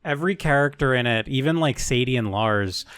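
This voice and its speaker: A man's voice: mid-range voice, animated, a little fast, a bit bright, no echo. A young adult man co-hosting a casual podcast, rambling loosely and swearing offhandedly. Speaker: podcast co-host. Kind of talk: casual chat.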